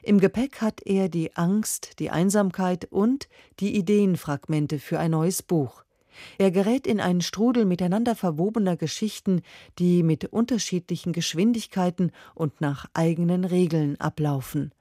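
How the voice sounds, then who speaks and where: A woman's voice: fairly low pitch, normal speed, warm tone, no echo. A woman announcer reading a scripted introduction to a radio drama, close on a studio microphone.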